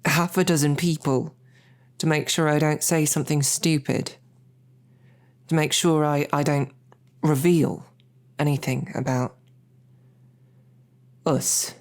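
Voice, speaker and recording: A woman playing a bashful, smitten knight and royal guard in an intimate audio roleplay, speaking haltingly with flustered, self-deprecating rambling. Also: low-pitched, fairly even intonation, a little mellow. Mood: flustered, earnest.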